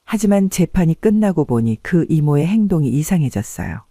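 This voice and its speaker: Very mellow, lively male story narrator. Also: on the high side, normal speed, dry recording, clean studio sound. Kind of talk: scripted first-person story narration.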